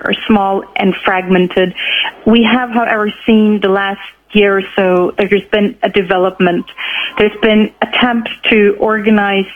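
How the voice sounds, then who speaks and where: A woman explaining as an expert guest in a news interview, measured and searching for words. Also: medium pitch. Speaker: expert guest on right-wing extremism. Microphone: telephone. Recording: narrow, tinny phone line.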